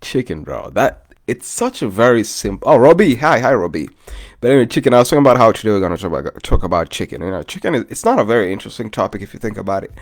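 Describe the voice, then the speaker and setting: A Kenyan man with a low, deep voice that swings widely up and down as he talks. He rambles in a loose, friendly way and keeps calling his listeners "bro". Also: very animated, racing. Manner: loose and chatty, often says "bro", greets live commenters. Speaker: vlogger. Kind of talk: casual rambling chat to viewers.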